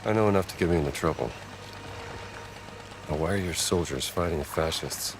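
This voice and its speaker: An actor playing a gruff, wry American adventurer archaeologist in a video game, speaking in a dry, laconic way. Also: male voice, extremely low pitch, normal speed, background noise. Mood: firm, reassuring.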